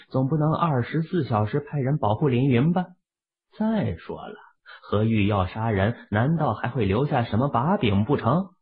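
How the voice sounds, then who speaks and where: A man's voice: fairly low pitch, husky. A man narrating a novel from a script in a dramatic storytelling style, with narrow, muffled sound. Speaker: audiobook narrator.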